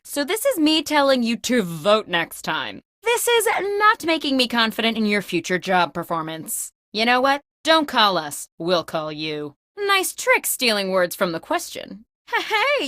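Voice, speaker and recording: A woman's voice: a little high, bright, forceful tone, dry recording, clean studio sound. A woman playing a scatterbrained, self-absorbed office boss in a comedic video game, breezy, theatrical and bossy. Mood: miffed, exasperated. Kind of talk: scripted comedic video game host lines.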